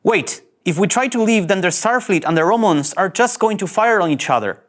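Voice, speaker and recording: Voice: medium pitch, animated, fairly quick, close to an everyday voice. An actor playing a flustered, earnest young male starship officer in a sci-fi cartoon table read, nervous and indignant. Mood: resolute.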